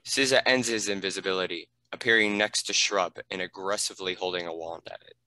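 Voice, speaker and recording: A man's voice: low voice, steady tone, fast talker, bright, forceful tone, dry recording, poor audio. A man giving scripted narration, reading out the stage directions of a screenplay.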